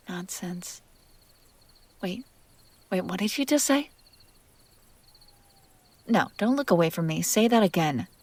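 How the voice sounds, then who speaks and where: A woman playing a possessive, sardonic and curious female demon in an intimate, wry audio roleplay spoken to the listener. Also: lowish voice. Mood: insistent, startled. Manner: teasing, wry, conversational, breaking into hushed whispers.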